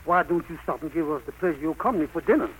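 A faintly noisy old radio drama recording. An actor plays a good-hearted Southern country preacher, humble and hospitable, speaking in a bright voice. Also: male voice, on the high side, very fast, lightly acted. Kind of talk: 1940s radio drama acting. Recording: noisy vintage 1940s radio broadcast.

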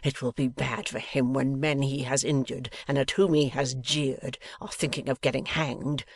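A man's voice: on the high side, fairly even intonation, measured pace, a bit bright, no echo. A man voicing a tense schoolmaster in a novel, intense and impatient, with rage held barely in check. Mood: concentrated rage. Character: tense, haggard schoolmaster seething with repressed rage. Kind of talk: dramatised novel reading.

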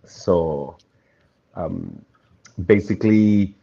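A man from Argentina, a guest talking casually about his life, relaxed and trailing off softly at the ends of phrases. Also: deep voice, extremely slow, gravelly, slightly rough, lo-fi sound. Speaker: interview guest. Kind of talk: casual conversation.

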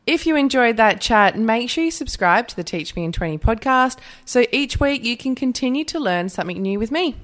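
A woman's voice: a little high, expressive, brisk, few pauses, fairly bright tone. A woman hosting a podcast, asking questions and giving an upbeat outro. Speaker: podcast host.

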